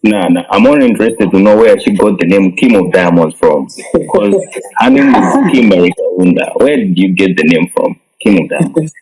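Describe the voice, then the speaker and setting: A man's voice: sits low, sing-song, moderate pace, gravelly, darkish tone, husky, rough recording. A man co-hosting a podcast, asking curious questions in a casual way. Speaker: podcast co-host.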